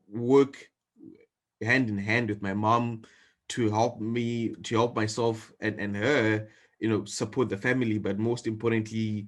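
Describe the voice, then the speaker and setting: A young man from Zimbabwe in his twenties, a guest telling his story earnestly in an interview over a video call. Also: on the low side. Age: 20s. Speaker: guest entrepreneur. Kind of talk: interview answers.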